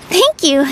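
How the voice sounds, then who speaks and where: A woman playing a gentle, encouraging young woman in a video game, with a sweet, reassuring manner. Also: extremely high pitch, very noisy, exaggerated voice. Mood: cheerful. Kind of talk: animated video game voice acting.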